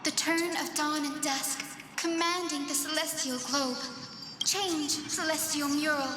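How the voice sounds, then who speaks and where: Casting: youthful ceremonial game mystic, high formal incanting voice, echo and clear background noise. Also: female voice, steady tone, unhurried. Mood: commanding. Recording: long echoey reverb, noisy.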